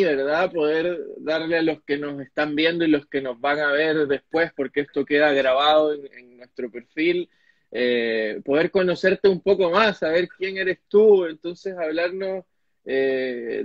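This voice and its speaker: Young male interviewer, somewhat high voice, halting and repetitive, dry dull lo-fi webcam sound. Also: no echo. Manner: stammering, repeats words, polite. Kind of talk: casual video call interview. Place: home office with boxes behind. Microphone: video call, webcam. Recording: dry, dull lo-fi call audio.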